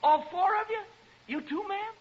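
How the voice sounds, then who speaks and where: A man's voice: very high-pitched, moderate pace. An actor playing a nervous radio DJ who rambles and stammers as he cracks up in a haunted house, in 1940s radio style. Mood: hysterical, unhinged. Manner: rambling, stammering, repeating himself. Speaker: radio announcer. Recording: hissy, muffled vintage 1940s radio recording.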